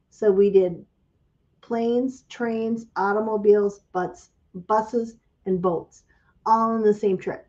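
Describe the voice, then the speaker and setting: A woman chats casually on a livestream, greeting viewers by name. Her voice is mellow and a little raspy from a cold. The stream audio sounds slightly dull and lo-fi. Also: medium pitch. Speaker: craft business owner hosting a livestream.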